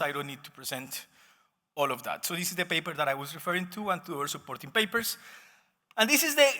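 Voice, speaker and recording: High-voiced Colombian man in an echoing hall. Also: bright, forceful tone. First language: Spanish. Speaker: academic conference presenter. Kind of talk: academic presentation. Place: conference session room, speaking to an audience. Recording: reverberant conference hall.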